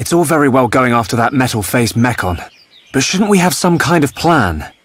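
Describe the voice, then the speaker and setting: A man's voice: medium pitch, expressive, moderate pace, lightly acted. A man playing an earnest, thoughtful young hero in a video game. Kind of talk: video game cutscene acting.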